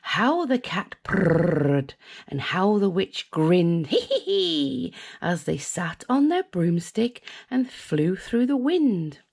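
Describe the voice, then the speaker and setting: A woman with a British accent reading a children's picture book aloud in an expressive, sing-song way, with asides to young listeners. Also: fairly low pitch, slow pace, slightly rough. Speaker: storyteller, children's channel host.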